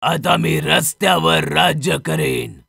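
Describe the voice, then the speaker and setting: Man acting out a slow menacing vengeful male ghost. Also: a little high, creaky, sharp tone, slightly rough, no echo, studio quality, exaggerated voice.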